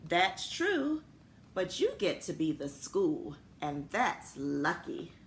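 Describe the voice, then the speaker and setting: A woman's voice: lowish voice, huge pitch swings, slow, heavily acted. Woman reading as a kindly, plain-spoken janitor. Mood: reassuring. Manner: reassuring, matter-of-fact. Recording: echoey room with noticeable background noise.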